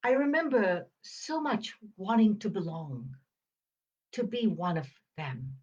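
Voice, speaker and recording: Older woman, crisp upper-crust British accent, unhurried. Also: medium pitch, very animated, a little mellow, dull audio. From Uganda. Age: 70s. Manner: crisp enunciation, measured phrasing with deliberate pauses. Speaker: podcast host. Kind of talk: reflective personal storytelling monologue.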